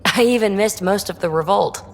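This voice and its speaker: A woman voicing a chatty, cheeky, secretive explorer scientist in a video game, flippant and animated. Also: mid-range voice, moderate pace, gravelly, long reverb tail. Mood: wry, candid. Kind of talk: video game character dialogue.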